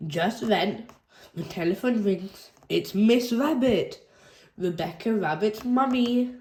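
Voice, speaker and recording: A woman reading a children's picture book aloud in a slow, expressive storytelling manner. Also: medium pitch, a little hiss or hum. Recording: echoey room.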